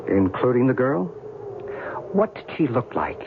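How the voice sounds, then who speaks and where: A man's voice: medium pitch, sing-song, measured pace, vocal fry. A man playing an earnest, insistent UFO believer in an old-time radio drama, articulate and rather formal. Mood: knowing, persuasive. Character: earnest, insistent male UFO believer, patient and solicitous companion. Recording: noisy, muffled old radio show recording.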